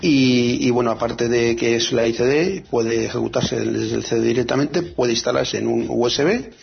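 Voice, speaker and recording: A man co-hosting a radio show about free software, talking in a hesitant, casual way with many fillers and restarts. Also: medium pitch, normal speed, gravelly, highs cut off, slight background noise.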